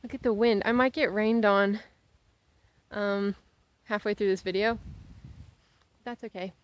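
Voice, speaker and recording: Young American female vlogger, rambling and playful, medium pitch, walking outdoors with a slightly muffled handheld recording. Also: fairly even intonation, measured pace. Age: young adult. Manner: giggly, easily sidetracked, playfully exaggerating. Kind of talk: casual rambling chat to camera while walking outdoors.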